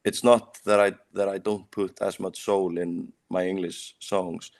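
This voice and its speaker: Young icelandic guy, deep gravelly voice, band singer chatting in english, keeps saying like while he hunts for words. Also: little pitch movement, measured pace, darkish tone. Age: young adult. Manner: searches for English words, leans on "like". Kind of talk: casual interview answers.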